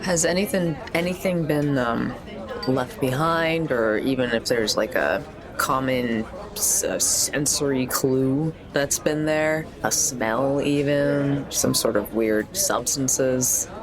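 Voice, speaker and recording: A woman playing in a tabletop game, chatting casually in a hesitant, halting manner. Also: very deep voice, over music. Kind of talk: casual chat.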